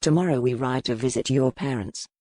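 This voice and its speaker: Stern warrior duke for a historical romance audiobook, played by a woman: pitched well down, terse, courtly, dry close recording. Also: mellow, dry recording. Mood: stern, formal. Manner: formal, terse, measured courtly phrasing. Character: gruff commanding medieval duke, a stern male warrior.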